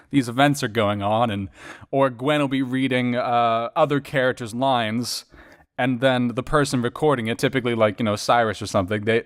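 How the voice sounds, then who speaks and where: A man who works as a voice actor and editor on a podcast, chatting casually and reflectively in a rambling storyteller's way. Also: mid-range voice. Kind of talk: casual reflective chat about acting work.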